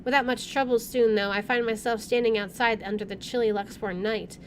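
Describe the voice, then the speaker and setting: A woman's voice: on the high side, steady tone, brisk, slight background noise. A woman hosting a playthrough, reading game text aloud and commenting casually. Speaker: gameplay host.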